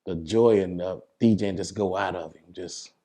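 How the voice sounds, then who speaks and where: Low-voiced, laid-back American man. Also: normal speed, mellow. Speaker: DJ, interview guest. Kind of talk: casual interview chat.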